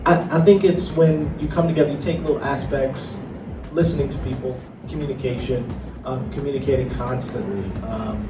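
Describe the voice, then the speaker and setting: A young man speaking casually and somewhat haltingly as a panelist and art director. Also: mid-range voice, steady tone. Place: convention panel room. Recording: echoey room, distant mic, music behind.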